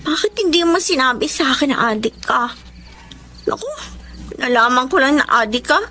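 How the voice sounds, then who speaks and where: A woman's voice: sits high, animated, normal speed, sharp tone, exaggerated voice. A young woman with a Filipino accent acting an earnest, concerned girlfriend in an emotive radio drama. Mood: worried, scolding.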